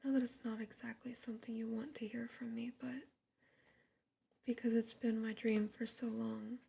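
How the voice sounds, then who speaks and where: A woman's voice: on the high side, flat delivery, moderate pace, warm tone, exaggerated voice. A woman whispering as a frightened young influencer. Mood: desperate. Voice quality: whispered, breathy.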